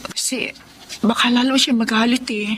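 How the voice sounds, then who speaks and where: A woman acting a gentle, anxious mother in her forties in a Filipino drama, pleading and hesitant. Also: a little high, steady tone, moderate pace, noisy background, close to an everyday voice.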